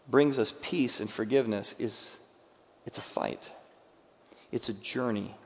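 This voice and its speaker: Preaching man in a reverberant hall. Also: mid-range voice. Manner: dramatic, acts out quoted voices, swings from loud to hushed. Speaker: pastor. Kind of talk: sermon. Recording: dull sound with long hall reverb.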